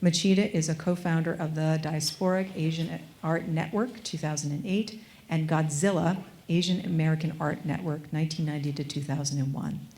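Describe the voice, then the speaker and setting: A woman curator reading formal introductions of panelists at a museum event, measured and composed with an occasional filler. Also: low voice, fairly even intonation, darkish tone. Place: museum event space, before an audience.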